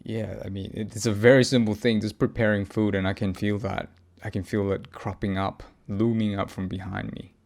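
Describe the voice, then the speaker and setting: A man recording a reflective personal audio log, speaking haltingly as he searches for words and often pauses mid-thought. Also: lowish voice, little pitch movement, gravelly, darkish tone. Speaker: podcast host.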